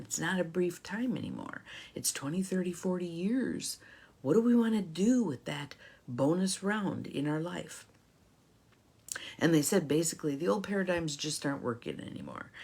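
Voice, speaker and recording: A woman's voice: low-pitched, darkish tone, breathy edge. A middle-aged woman life coach hosting a live talk, reflective and encouraging, greeting viewers by name.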